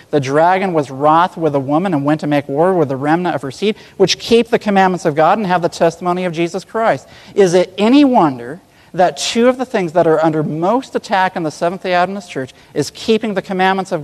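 A preacher with a notably high-pitched voice delivers an earnest, emphatic sermon. He keeps asking the listeners rhetorical questions. His voice rings with a long reverb, as in a large hall.

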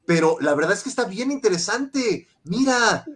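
A man with a Mexican Spanish accent co-hosting a fan podcast over a video call, talking in a lively way with quick asides and stammered restarts. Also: high-pitched, background noise. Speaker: podcast co-host.

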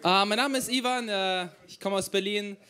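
A man's voice: sits high, normal speed, crowd noise, noisy background. A young man from Ukraine doing a stand-up set into a handheld mic, chatty and self-mocking as he tells stories. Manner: chatty, self-mocking, storytelling with acted-out quotes. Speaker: stand-up comedian. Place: small crowded room on a stage.

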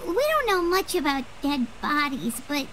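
A woman voicing a tiny, childlike fairy girl companion in an anime-style game, squeaky, earnest and kindhearted. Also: normal speed, heavy background noise. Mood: helpful, earnest. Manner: earnest, expressive.

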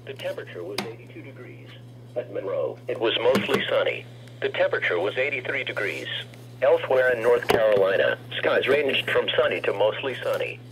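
A man's voice: medium pitch, very animated, a little fast, creaky, background noise. A synthetic American male voice reading an automated weather broadcast through a radio speaker. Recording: humming radio speaker picked up by camera.

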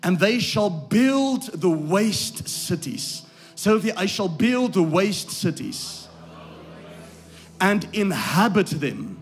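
A man preaching a live sermon to a congregation. He swings from quiet asides to loud, shouted lines, repeats his phrases, checks that the crowd follows, and is losing his voice. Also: high-pitched, sing-song, takes it slowly, soft background music. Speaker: pastor.

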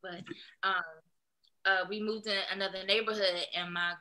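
A young woman from Philadelphia, a singer, telling her life story in a relaxed interview over a video call, with fillers and easy laughs. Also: medium pitch, measured pace. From Philadelphia, USA. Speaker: singer, guest. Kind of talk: casual interview. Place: small indoor room.

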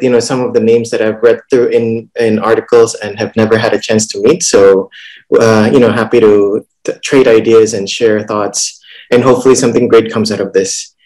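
A man in his thirties speaks as a business panelist on a video call, in a medium-pitched voice. He explains haltingly, doubling back on words and checking in as he goes. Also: a little mellow, rough recording. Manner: halting, repeats words, often checks with "right?". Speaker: startup executive, panelist.

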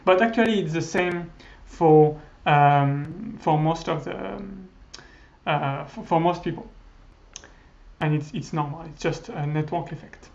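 A man's voice: on the high side, measured pace, gravelly. Hesitant French man lecturing on slides. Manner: hesitant, many fillers and restarts. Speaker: university lecturer. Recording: slightly dull lo-fi audio.

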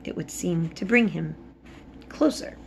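A woman's voice: lowish voice, a little slow, gravelly. A woman, reading a storybook aloud expressively like a teacher. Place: small room indoors. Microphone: phone at arm's length. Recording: faint room noise.

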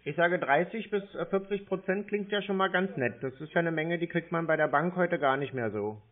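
Dawdling man playing dumb on the phone. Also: fairly high pitch. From Germany. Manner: slow, dawdling, feigned confusion. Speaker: scam-baiting caller. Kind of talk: playing dumb on a prank call. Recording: tinny phone line.

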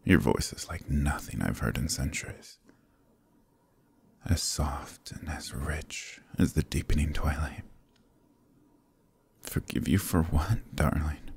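Brief: man playing a flirtatious demon prince, very deep slow murmur, husky breathy close-mic half-whisper, teasing and commanding. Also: full character voice. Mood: seductive. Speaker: voice actor in audio roleplay. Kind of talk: ASMR roleplay, close intimate murmur.

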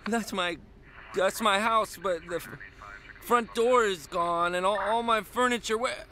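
An actor playing a troubled young male airman, narrating his story gravely in first person in a radio drama. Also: high-pitched, unhurried, bright, forceful tone, slight background noise. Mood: panicked. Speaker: narrator and main character.

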